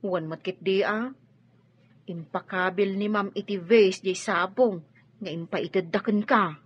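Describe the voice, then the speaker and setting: A woman's voice: mid-range voice, fairly even intonation, unhurried, slightly rough. A woman playing a playful, curious young unmarried lady boss in a radio drama. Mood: inquisitive. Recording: dull lo-fi radio sound.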